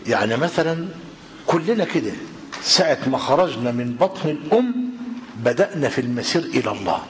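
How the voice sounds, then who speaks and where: An older man with an Egyptian Arabic accent, giving a religious lesson in a hall and questioning his listeners, slowing down when he quotes classical texts. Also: medium pitch. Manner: lively rhetorical questioning of the audience. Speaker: preacher. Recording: reverberant hall with faint noise.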